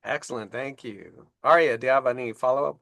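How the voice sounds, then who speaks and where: A man moderating a science webinar over a video call, upbeat and quick to affirm. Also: mid-range voice, creaky, a bit bright, no echo.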